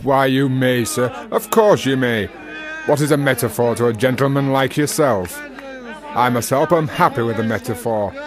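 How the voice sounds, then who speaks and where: A man playing a wry, deferential working-class village sexton in a radio drama, folksy and gently teasing. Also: mid-range voice, moderate pace, music in the background, lightly acted. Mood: wry, respectful.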